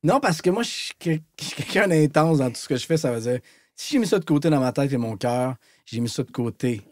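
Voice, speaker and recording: Fast-talking Québécois man in his thirties. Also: medium pitch, lively intonation, slight background noise. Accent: Québécois French. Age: 30s. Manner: quick, intense, trailing off softly at phrase ends. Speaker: guest musician. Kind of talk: casual podcast conversation.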